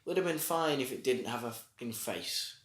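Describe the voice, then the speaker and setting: A man's voice: medium pitch, lively intonation, normal speed. Guy on a podcast, dry sarcastic, swears a lot, middle voice, roomy echo. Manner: sarcastic, swears, trails off mid-sentence. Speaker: podcast co-host. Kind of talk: casual review chat. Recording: echoey room.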